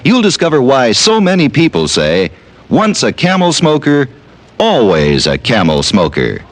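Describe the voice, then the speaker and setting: A man's voice: mid-range voice, wildly swooping pitch, a little slow. A man announcing a scripted mid-century radio commercial in a polished, emphatic way. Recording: vintage radio broadcast recording.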